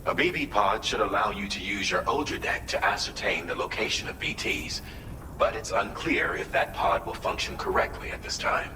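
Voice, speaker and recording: An actor playing a composed, businesslike mission adviser in a video game, giving formal, measured and precise briefings. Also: male voice, fairly low pitch, reverberant, noisy background, close to an everyday voice. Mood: measured, serious.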